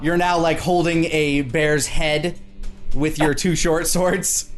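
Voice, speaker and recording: A man's voice: a little high, flat delivery, slow. Casual male game master over faint music. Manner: casual, colloquial. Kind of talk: improvised narration of a tabletop game.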